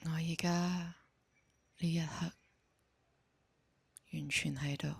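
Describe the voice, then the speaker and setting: A woman with a Hong Kong Cantonese accent guides a meditation in a soft, breathy voice, speaking calmly and giving gentle instructions. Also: deep voice, no room sound. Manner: slow, calm, gently instructing.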